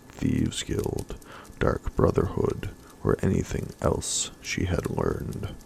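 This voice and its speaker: Hushed, very gravelly man reading a story. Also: a bass voice, slight background noise, low-quality recording. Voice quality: breathy, hushed, often whispered. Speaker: ASMR storyteller. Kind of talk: soft-spoken ASMR story reading.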